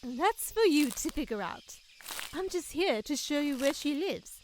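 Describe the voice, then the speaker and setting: A woman's voice: sits high, lively intonation, measured pace, a little hiss or hum, poor audio. A woman playing a teasing, cautious fairy friend in a fantasy comedy audio drama. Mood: teasing, ominous. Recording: faint outdoor cricket ambience behind voice.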